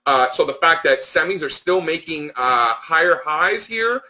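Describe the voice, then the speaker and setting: Bright-voiced man giving informal market commentary. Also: a little high, expressive, measured pace. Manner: emphatic, opinionated, slangy. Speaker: stock market analyst hosting a members call.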